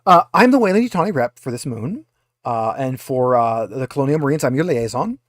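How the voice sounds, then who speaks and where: A man voicing a haughty, impatient corporate woman liaison in a tabletop game, talking down to people in an informal, halting way. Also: medium pitch, expressive, no echo, studio quality, close to an everyday voice. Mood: indignant, condescending. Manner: dismissive, condescending, hesitant informal delivery.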